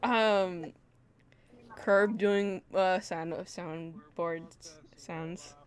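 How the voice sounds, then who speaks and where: A woman's voice: fairly low pitch, fairly even intonation, extremely slow, clean tone. A woman livestreaming a game with a friend, chatting casually in a pleading, mock-exasperated, giggly way. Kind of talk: casual chat while gaming.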